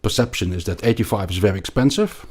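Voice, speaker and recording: A man with a Belgian accent explaining things as an interview guest, haltingly and emphatically, often repeating words. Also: fairly low pitch, darkish tone. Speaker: podcast guest, investment analyst.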